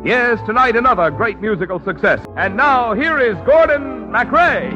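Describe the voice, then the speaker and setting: A man's voice: very high voice, animated, moderate pace, pushed and bright, soft background music. A man announcing a 1940s radio show in a grand, declamatory style. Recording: muffled vintage 1940s radio broadcast.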